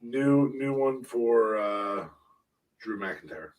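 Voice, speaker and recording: A man hosting a podcast, chatting casually in a halting, playful way. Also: mid-range voice, animated. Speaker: podcast host. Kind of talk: casual wrestling fantasy booking chat. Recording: voice distant from the mic.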